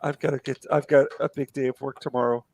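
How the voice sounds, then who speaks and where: A man hosting a live art stream, losing his voice and talking in a relaxed, rambling way with many fillers. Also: medium pitch, fast talker, creaky, dry recording. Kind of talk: casual late-night chat.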